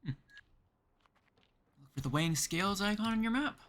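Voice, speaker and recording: Animated game streamer thinking aloud. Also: medium pitch, moderate pace. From Canada. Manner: chatty, thinking aloud in short remarks. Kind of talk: casual live game stream commentary.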